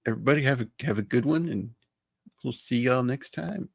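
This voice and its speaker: A man's voice: mid-range voice, gravelly, slightly rough, no echo. A man in his late thirties hosting a livestream, talking easygoing and laid back. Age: 30s. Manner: slow, easygoing, signs off with y'all. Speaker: host. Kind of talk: casual livestream chat.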